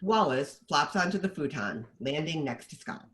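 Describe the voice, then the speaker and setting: Screenplay narrator: woman, animated intonation, reading out stage directions. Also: deep voice, moderate pace. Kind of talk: scripted narration.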